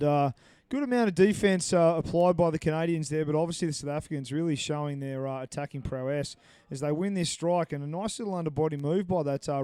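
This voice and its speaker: A man's voice: fairly high pitch, warm tone, clean tone, no echo. A man commentating a live match with upbeat, jokey analysis and frequent fillers. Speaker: sports commentator.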